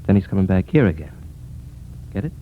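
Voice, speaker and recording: A man's voice: low voice, sing-song, a little fast. An actor, a man, playing a cocky, scheming young parole jumper with slangy talk in a 1940s radio drama. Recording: narrow, noisy 1940s radio recording.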